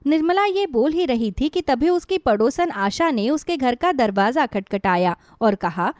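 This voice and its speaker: A woman narrates a scripted bedtime story for children. Her voice sits fairly high. The recording is dry, with no audible room. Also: expressive, a little slow, darkish tone, dry recording.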